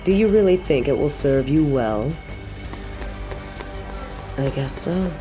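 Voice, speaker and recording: A woman's voice: low-pitched, lively intonation, over loud music. A woman playing a possessive, imperious evil queen in an audio roleplay, taunting and haughty. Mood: condescending, taunting. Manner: slow, taunting, imperious, with breathy drops to a whisper. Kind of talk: scripted audio roleplay drama.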